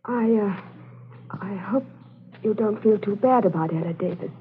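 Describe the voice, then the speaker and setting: An actress playing a timid, shaken young woman, speaking haltingly in a 1940s radio drama style. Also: mid-range voice, close to an everyday voice. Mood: nervous. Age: young adult. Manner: halting, faltering. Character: timid, shaken young daughter hiding guilt. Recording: noisy, tinny 1940s radio recording.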